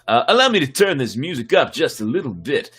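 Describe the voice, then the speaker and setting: A man's voice: mid-range voice, very animated, a little fast, fairly bright tone, husky. A man hosting a story-time livestream, chatting casually between dramatic readings. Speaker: host and story reader. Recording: music behind the voice.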